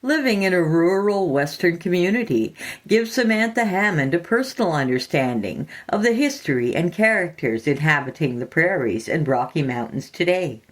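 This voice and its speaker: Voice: mid-range voice, expressive, normal speed. A narrator and podcast host reading scripted fiction aloud in a storytelling style.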